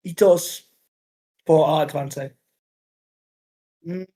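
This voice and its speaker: A young man with a Scouse-tinged Northern English accent, co-hosting a casual football podcast in an excitable, bouncy way. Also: fairly high pitch, takes it slowly. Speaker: podcast co-host.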